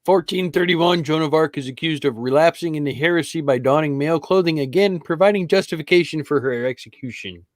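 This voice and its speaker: A man with a fairly high voice chats casually as a podcast co-host. The sound is very dry, with no room echo around him. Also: brisk, few pauses. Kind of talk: casual panel chat.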